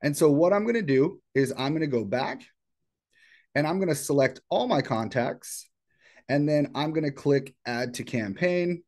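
A man presenting an informal step-by-step software tutorial in a casual, explanatory way. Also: fairly high pitch, expressive, moderate pace. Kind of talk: casual screen-share tutorial. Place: indoors at a computer.

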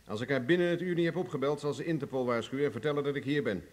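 A male actor plays a confident, hard-bargaining man in a radio play. His voice sits at a middle pitch. He gives orders and needles his opponent with mocking assurance. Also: very fast, natural voice. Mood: taunting, confident. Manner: self-assured, taunting, commanding. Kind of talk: radio drama acting.

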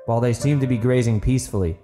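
A man narrates a dramatic audiobook in a dark, mellow voice at a middle pitch. The delivery is scripted storytelling, with faint music behind it. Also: racing.

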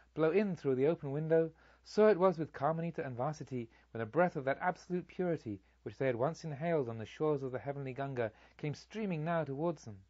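A man reading literary narration as an audiobook, in a solemn, measured storytelling manner. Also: on the high side, dark tone, no echo, muffled recording. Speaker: audiobook reader.

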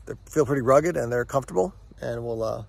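A man's voice: mid-range voice, quick pace, a little mellow, no echo. An American man in his forties giving a casual, unscripted product review to camera outdoors, conversational and a little hesitant. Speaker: gear review vlogger. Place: outdoors, woods, yard and parking lot. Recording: outdoor recording with clear background noise.

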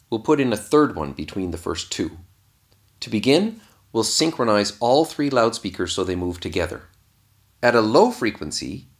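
Low-voiced man narrating with wide pitch swings. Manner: didactic, step-by-step explaining. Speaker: instructor. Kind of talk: scripted explanatory narration.

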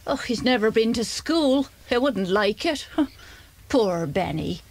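A woman's voice: fairly high pitch, expressive, slow, no room sound, exaggerated voice. An actress playing a fond, chatty, protective mother in a children's audio drama.

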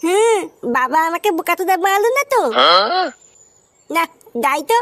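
A woman's voice: very high voice, lively intonation, normal speed, no room sound. A woman voicing a cheeky, naive little boy in a comic cartoon dub, with a childish, whiny manner.

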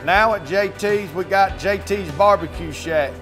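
A middle-aged man delivering a local commercial pitch for his business. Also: unhurried. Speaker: business owner advertising his market. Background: music.

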